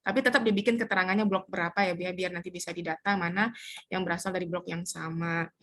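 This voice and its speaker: A woman explaining step by step how to fill in a community household form, pausing often to search for words. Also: on the low side, steady tone, a bit bright. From Indonesia. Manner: hesitant, searches for words with many fillers. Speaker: community trainer.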